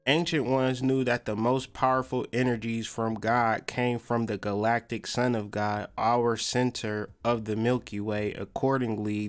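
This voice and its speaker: A man hosting a podcast, mixing casual slangy commentary with reading aloud and repeating phrases for emphasis. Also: mid-range voice. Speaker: podcast host.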